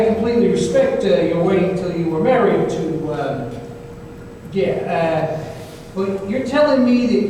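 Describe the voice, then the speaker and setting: A man playing a flustered, worldly confidant in an old-time radio drama, stammering and halting as he talks. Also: high-pitched, a little mellow. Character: flustered, worldly male confidant, informal and reassuring. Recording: echoey hall from far off, faint noise.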